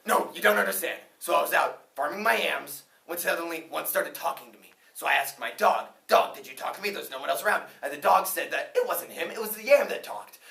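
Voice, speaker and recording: A woman's voice: on the low side, expressive, a little fast, gravelly, hardly any pauses, fairly bright tone, voice pushed up, full character voice. A woman playing a panicky male yam farmer who rambles frantically and insists he is not crazy. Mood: frantic. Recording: roomy room, distant mic.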